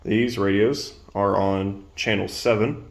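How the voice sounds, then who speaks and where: A man's voice: lowish voice, fairly even intonation, takes it slowly. A man giving a casual product demonstration, explaining things in a plain-spoken way as a reviewer. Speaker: presenter reviewing radio gear. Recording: clear background noise.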